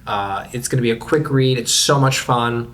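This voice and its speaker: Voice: medium pitch, moderate pace, fairly bright tone. Enthusiastic host, informal interviewer, gushing delivery. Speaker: table read host. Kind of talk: casual interview talk.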